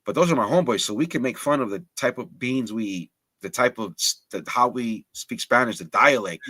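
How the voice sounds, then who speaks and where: Forceful man from Los Angeles. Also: medium pitch, a little fast, slightly rough, dry recording. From Los Angeles, United States. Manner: candid, slangy, thinks aloud with restarts. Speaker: livestream panelist. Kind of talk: casual chat.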